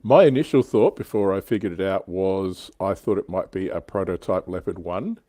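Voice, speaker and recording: A man's voice: sits low, moderate pace, dark tone, no echo. A man hosting a casual, jokey hobby livestream, chatting and reading out viewer comments. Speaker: livestream host.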